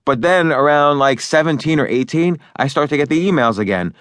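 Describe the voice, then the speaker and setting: A man's voice: a little high, lively intonation, a little fast, fairly bright tone, no echo, clean studio sound. Chatty man reading his own book. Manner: loose and chatty, with fillers and restarts. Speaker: author and narrator. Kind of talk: conversational audiobook narration.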